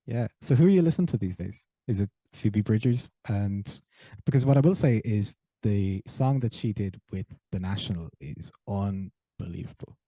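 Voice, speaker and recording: A woman interviewer with a very low, dark voice keeps the chat easy and informal. She answers with brief replies and laughs, then asks her questions plainly. Her voice sounds a little husky. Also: moderate pace, dry recording. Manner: relaxed, short replies and laughs. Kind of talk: casual chat.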